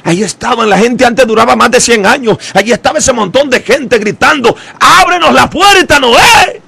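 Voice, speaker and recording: A man with a very high, strained voice preaches. His delivery is gravelly and impassioned, full of repetition and sudden shouted peaks. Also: a little fast, dry recording. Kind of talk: fiery evangelical sermon.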